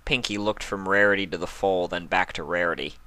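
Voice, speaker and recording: A man's voice: low-pitched, fairly even intonation, fairly quick, pushed and bright, no echo. A man reading scripted story narration as the narrator of a fan fiction.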